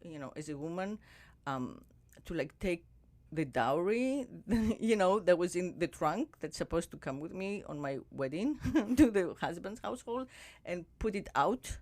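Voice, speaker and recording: A woman hosting a podcast who talks in a reflective, musing way, her pitch moving up and down with plenty of life. Her voice is dry and close to the mic. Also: lively intonation, unhurried, hardly any pauses, no room sound. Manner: reflective, drifting softer as she muses. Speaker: podcast host. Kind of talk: casual interview conversation.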